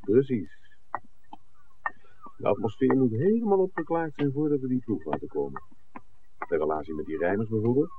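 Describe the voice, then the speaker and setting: An actor playing a shrewd, dryly teasing film scout in a 1960s radio play, speaking in an articulate, deliberate way. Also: male voice, medium pitch, animated, lightly acted. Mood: wry, emphatic. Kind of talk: 1960s radio drama acting. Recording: muffled, noisy old radio broadcast recording.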